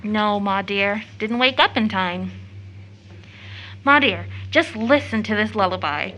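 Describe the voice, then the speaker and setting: A woman's voice: mid-range voice, unhurried, pushed and bright, rough recording. An actress playing an eager, tender-hearted teenage schoolgirl in an audio drama, chatty, girlish and earnest. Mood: girlish, wistful. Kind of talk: stage-play audio drama acting.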